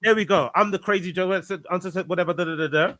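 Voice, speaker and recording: Earnest man, higher voice, repeating himself. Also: racing, bright, forceful tone, dry recording. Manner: earnest, repeats phrases for emphasis. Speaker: podcast guest. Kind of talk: reflective casual conversation.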